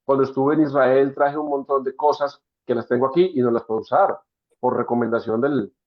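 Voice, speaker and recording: Brief: Colombian man, new student, mid-pitch voice over muffled video call audio, rambling with restarts. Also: fairly even intonation, a little fast. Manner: rambling, many restarts and fillers. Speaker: new class participant. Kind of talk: spontaneous comment in an online class.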